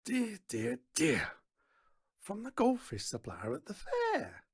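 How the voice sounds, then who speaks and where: A man's voice: high voice, wildly swooping pitch, slow, breathy edge, no echo, studio quality, voice pushed up, full character voice. A man voicing a folksy, patronising fairground stallholder who mentors a boy in a storybook reading. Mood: exasperated. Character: brisk, exasperated older fairground stallholder mentoring a lad.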